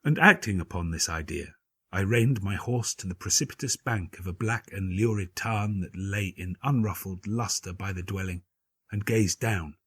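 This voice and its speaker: Male narrator for dramatic gothic audiobook. Husky, lowish voice, formal and grave, theatrical phrasing. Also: moderate pace, a bit bright, no echo.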